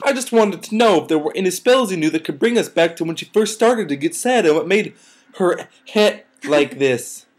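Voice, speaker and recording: A woman's voice: fairly low pitch, expressive, moderate pace, darkish tone, breathy edge, voice pushed up. A woman voicing a friendly, folksy female cartoon farm pony who worries about her friend. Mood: earnest, worried.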